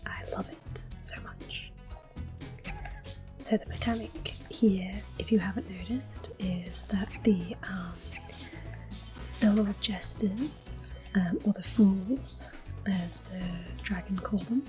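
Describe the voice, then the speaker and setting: Soft, breathy, slow-talking woman doing ASMR. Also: medium pitch. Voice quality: soft, breathy, often whispering. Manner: gentle, unhurried. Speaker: ASMR gameplay host. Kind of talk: soft-spoken ASMR game commentary. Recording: quiet game music behind. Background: soft video game music.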